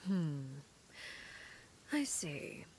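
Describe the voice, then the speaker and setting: A woman's voice: lowish voice, very animated, drawn-out words, a little mellow, slight background noise, exaggerated voice. An actress playing a cool, enigmatic, commanding woman leader in a video game, composed, formal and guarded. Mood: guarded, probing. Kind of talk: video game character acting.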